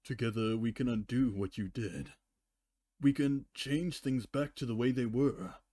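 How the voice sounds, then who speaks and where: Man acting out weary immortal female pony, solemn, pleading, unhurried, storybook reading, dry sound. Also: medium pitch, warm tone, no room sound, natural voice. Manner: slow, deliberate, solemn. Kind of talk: dramatic fan fiction reading.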